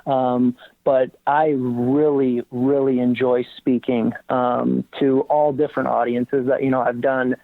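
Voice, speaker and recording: A man's voice: medium pitch, flat delivery, measured pace, gravelly, no echo. A man, a college basketball coach, speaking earnestly as a guest, with many ums and restarts. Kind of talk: casual interview talk. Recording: tinny phone-like line, dry.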